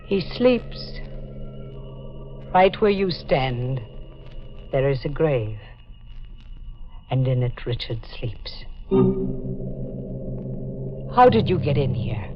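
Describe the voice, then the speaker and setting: A haunted-sounding old woman in a 1940s radio drama, brooding and grave. She speaks very slowly, on a dull vintage recording with music behind. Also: extremely low pitch, very animated, exaggerated voice. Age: older. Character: brooding old woman, last of a doomed family.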